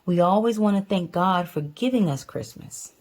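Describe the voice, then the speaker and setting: Mother at storytime: woman, lowish mellow voice, patient and simple, part read-aloud, part casual chat. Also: moderate pace. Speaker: mother reading to her child.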